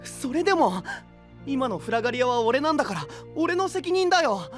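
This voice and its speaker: 海の国を守る若い青年を演じる男性声優。柔らかく内省的で、真摯な話し方。. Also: male voice, very high voice, sing-song, moderate pace, faint music behind. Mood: resolute. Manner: soft, introspective, earnest. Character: gentle young male prince of a sea kingdom. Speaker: voice actor. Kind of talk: anime voice drama.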